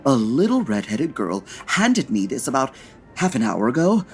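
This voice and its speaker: A man's voice: mid-range voice, very animated, normal speed. Guy playing campy dramatic department store jack frost, effusive, swoopy voice, music under it. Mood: anxious, dramatic. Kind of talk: comedy audio drama acting.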